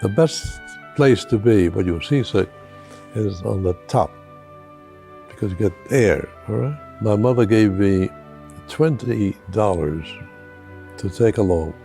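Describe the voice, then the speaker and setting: A man's voice: lowish voice, animated, a little slow, long pauses, dark tone, faint music behind. An older man from Austria recalling his journey in a chatty interview, asking rhetorical questions. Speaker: survivor interviewee. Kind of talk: recorded interview.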